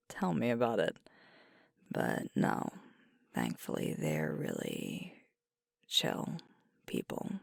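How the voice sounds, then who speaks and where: Woman acting as sweet tired werewolf girlfriend, slow breathy asmr, lots of creak, halting and confiding. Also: very deep voice, no room sound, studio quality, full character voice. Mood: weary, wry. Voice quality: soft, breathy, whispery. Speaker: ASMR voice actor. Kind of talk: ASMR roleplay, intimate one-sided conversation.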